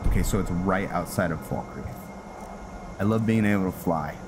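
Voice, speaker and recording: A man giving casual game commentary as he plays, thinking aloud in a halting way. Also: sits low. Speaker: gaming video host. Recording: loud game music behind the voice. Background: loud video game music.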